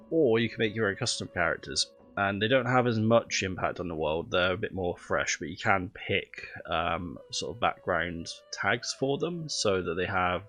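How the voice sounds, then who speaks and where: A man's voice: deep voice, pushed and bright. A man with a cold and a stuffy, nasal voice, chatting casually through a video game while streaming, thinking aloud with lots of ums.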